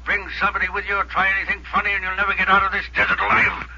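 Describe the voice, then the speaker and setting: A threatening prospector on the run in an old radio drama. The voice is high, clipped and quick. It sounds muffled, with crowd-like noise behind it. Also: male voice, lively intonation. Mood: menacing. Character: menacing, terse male desert prospector and wanted outlaw. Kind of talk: 1950s radio drama acting. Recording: dull, telephone-like old radio recording.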